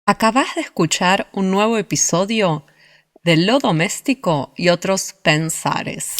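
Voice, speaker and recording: A woman reading the podcast's closing credits in a measured, scripted way. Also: mid-range voice, expressive. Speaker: outro announcer. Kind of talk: scripted outro.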